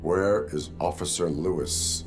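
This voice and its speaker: Brief: a deep, clipped, formal cyborg cop, music behind. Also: male voice, steady tone, measured pace. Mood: stern. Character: stern male cyborg police officer. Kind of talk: video game cutscene acting.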